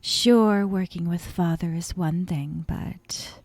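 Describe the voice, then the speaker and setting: A breathy, slow-talking young yandere woman, acted by a female ASMR performer, possessive and dominant. She speaks softly and rather low, often in a whisper, teasing and threatening with the same sweetness. The sound is close and dry. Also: dry recording. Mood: giddy, thrilled. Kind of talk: ASMR roleplay, intimate monologue to the listener. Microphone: close, dry microphone.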